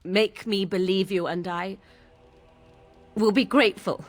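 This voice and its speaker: An actress playing a proud, sharp-tongued young witch in a video game, with haughty, dramatic, old-fashioned speech. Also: mid-range voice, steady tone, faint music behind, close to an everyday voice. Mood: anguished, pleading. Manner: haughty, dramatic, halting under emotion. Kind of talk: video game character acting.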